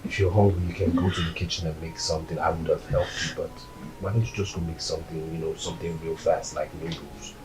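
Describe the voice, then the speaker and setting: A man's voice: deep voice, fairly even intonation, fast, over music, close to an everyday voice, poor audio. An actor playing a husband who reassures a frightened young woman in a soothing, hesitant way. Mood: reassuring. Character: exasperated husband caught between wife and maid. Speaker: actor in a domestic skit.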